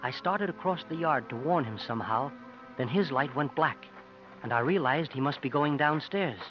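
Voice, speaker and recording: Casting: man playing earnest young sidekick-narrator, slightly high voice, old radio adventure, muffled sound with music behind. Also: moderate pace, lightly acted. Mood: tense. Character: earnest young male companion narrating a frontier adventure tale. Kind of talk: 1950s radio acting, first-person storytelling. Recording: dull 1950s radio recording with music.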